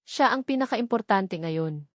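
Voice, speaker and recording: A woman's voice: medium pitch, expressive, measured pace, no room sound, clean studio sound. A woman reading a scripted first-person romance novel as an audiobook narrator.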